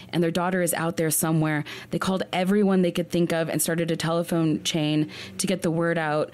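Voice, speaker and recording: A woman's voice: on the low side, darkish tone. A woman hosting a true crime podcast, telling a case in an earnest way, often restarting her sentences. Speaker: podcast host.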